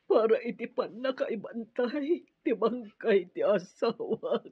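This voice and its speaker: A woman's voice: on the high side, animated, takes it slowly, husky, no room sound, heavily acted. A Filipino woman acting in a radio drama as a grieving mother and widow. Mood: grieving, resigned. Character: grieving mother and new widow of a wicked man. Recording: dull, lo-fi radio drama audio.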